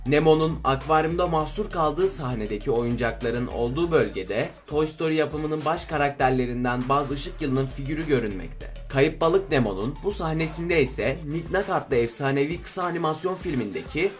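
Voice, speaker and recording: A man's voice: a little high, expressive, moderate pace, hardly any pauses. A man reading scripted narration for a list video. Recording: voice-over with music underneath. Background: background music.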